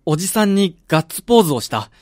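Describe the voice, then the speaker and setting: A man's voice: sits high, big pitch swings, quick pace, darkish tone, dry recording, clean studio sound. An actor playing a proud, wounded young footballer son in a radio drama, frank and emotional in his delivery. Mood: reflective. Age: young adult.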